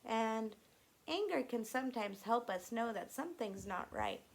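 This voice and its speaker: Slow, expressive woman reading to children. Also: on the high side. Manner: simple, instructive phrasing for young children. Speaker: teacher reading aloud to children. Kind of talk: expressive children's read-aloud and gentle explanation.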